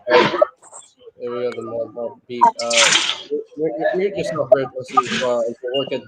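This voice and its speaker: A man in his twenties chatting on a live stream, halting and full of fillers. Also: medium pitch. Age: 20s. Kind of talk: casual live-stream chat. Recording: noisy background.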